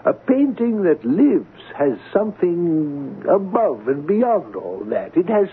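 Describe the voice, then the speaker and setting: Husky, deliberate scholarly art appraiser. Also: male voice, a little high, big pitch swings, exaggerated voice. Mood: reverent, lofty. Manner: formal, deliberate, measured. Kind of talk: radio drama acting. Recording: muffled vintage radio broadcast.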